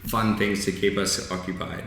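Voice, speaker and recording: A man's voice: fairly low pitch, little pitch movement, moderate pace, fairly bright tone. Laid-back young man in a very echoey room. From Bangladesh, raised in Australia. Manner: easygoing, laughs often, frequent um and like. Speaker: startup co-founder, guest. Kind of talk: casual interview chat. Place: co-working space.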